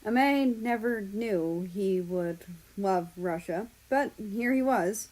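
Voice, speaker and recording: A woman's voice: medium pitch, slow, a little mellow, rough recording. Woman reading a story aloud. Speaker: fan fiction reader. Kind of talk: expressive storybook-style narration.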